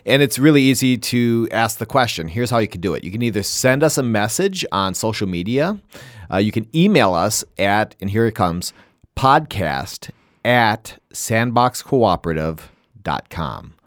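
A man co-hosting a podcast from a studio, jokey and animated, laughing easily as he talks. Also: on the low side, moderate pace, no room sound. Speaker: podcast co-host. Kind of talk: casual conversational podcast.

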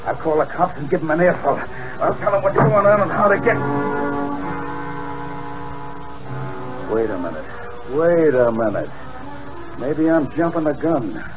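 A man's voice: on the high side, very animated, moderate pace, over music, low-quality recording. A slangy tough-guy gangster. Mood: scheming. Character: tough streetwise male gangster henchman, boastful. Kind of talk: 1940s radio acting. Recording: hissy old radio broadcast.

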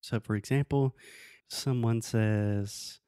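A man's voice: lowish voice, fairly even intonation, slow, mellow, clear, resonant tone, no room sound. Casual male English teacher, dry close sound. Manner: explains with everyday examples, checking understanding. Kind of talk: casual conversational language lesson.

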